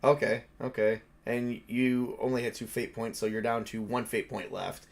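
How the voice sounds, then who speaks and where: A man's voice: mid-range voice, level tone, moderate pace, vocal fry. A man acting as game master, narrating a tabletop game casually and dramatizing events in informal words.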